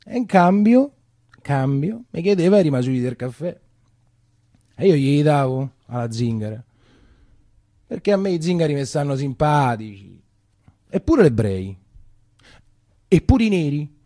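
Mellow, grumbling Roman shopkeeper. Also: male voice, on the high side, sing-song, unhurried, pauses often, no room sound, clean studio sound. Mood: chatty, grumbling. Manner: rambling, colloquial, repeats himself. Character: weary, chatty working-class Roman coffee-shop keeper, grumbling and self-pitying. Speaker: stage actor. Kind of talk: theatrical monologue for radio.

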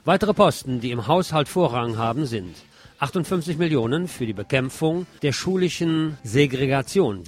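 A man hosting a radio news segment, reading scripted reports and dropping in sardonic, informal asides. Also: medium pitch. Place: radio programme. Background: guitar music.

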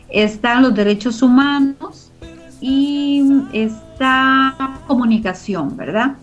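A woman being interviewed, explaining her organization's work in a conversational way. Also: a little high. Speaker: interview guest from a community media organization. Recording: dull lo-fi audio, music behind.

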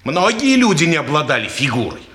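A man's voice: fairly high pitch, sing-song, brisk, bright, forceful tone. An actor playing a pompous, touchy petty townsman who philosophizes, in 1950s Russian stage style. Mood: musing, mocking. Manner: ponderous, self-important, sententious. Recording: roomy old radio theatre recording.

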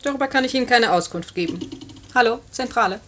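A woman playing a prim, dry, sharp-tongued secretary in a 1950s radio play, brisk and officious. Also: a little high, animated. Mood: dry, formal. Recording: noisy old radio recording.

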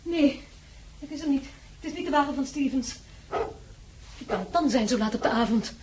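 Frightened, high-voiced wife. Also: female voice, animated, moderate pace, darkish tone, a little hiss or hum. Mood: anxious. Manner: anxious, urgent, pleading. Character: frightened wife alone at night in a remote farmhouse. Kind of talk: Dutch radio play acting.

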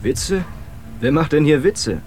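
A man's voice: fairly high pitch, animated, slow, darkish tone, a little hiss or hum. A man acting in a radio drama as a brash, cheeky expedition buddy who speaks in a teasing, forthright way.

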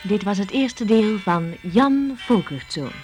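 A woman's voice: mid-range voice, expressive, slow. A woman reading the scripted closing announcement of a Dutch radio play. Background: music.

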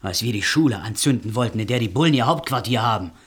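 An actor playing a sceptical, impatient young Dakota grandson with a husky voice in a German radio play. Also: male voice, medium pitch, lively intonation, quick pace, a bit bright. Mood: defiant, sceptical.

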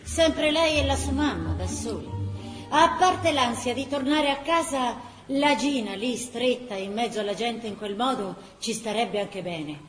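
An actress with a Tuscan accent narrating a wartime chronicle as an impassioned, vivid radio-theatre storyteller. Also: female voice, on the high side, expressive, unhurried, music in the background. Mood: grave, intimate. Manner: vivid, theatrical storytelling that breaks into characters' cries. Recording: roomy stage sound, faint background noise.

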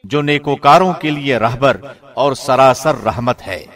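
Guy with a mid-range voice reading scripture translation, formal and measured, like a radio presenter. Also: mid-range voice, a bit bright, faint music behind. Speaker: translation reader, radio host.

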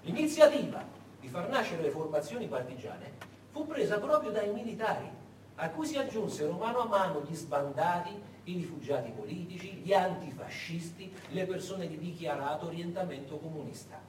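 Man, theatrical history narration, dramatic, rhetorical questions, animated intonation, distant echoey recording with faint noise. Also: sits high, normal speed. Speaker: stage actor, storyteller.

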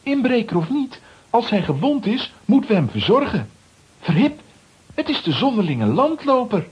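A woman's voice: a little high, big pitch swings, normal speed, slightly rough, dull audio, full character voice. A woman playing an alert, plucky child adventurer in a children's radio play. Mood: urgent.

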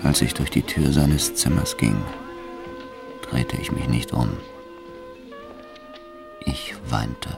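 An actor playing a hardened, world-weary gangster who recounts his crimes gravely and deliberately in a radio drama, with a gravelly voice. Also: extremely low pitch, fairly even intonation, over music, full character voice. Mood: sombre, subdued. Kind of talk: first-person radio drama narration with acted dialogue.

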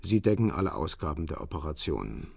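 An actor playing a curt middle-aged Russian ex-soldier turned shady fixer in a radio drama, husky, guarded and businesslike. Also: male voice, extremely low pitch, very animated, fairly quick, no room sound, studio quality, full character voice. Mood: cool, menacing.

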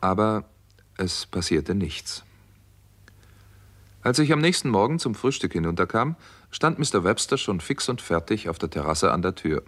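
Doctor-narrator, low-pitched, calm, inquisitive, German radio crime drama, 1960s acting style. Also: male voice, normal speed, exaggerated voice. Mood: measured narrating. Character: doctor narrating a hardboiled Hollywood detective story, calm, inquisitive.

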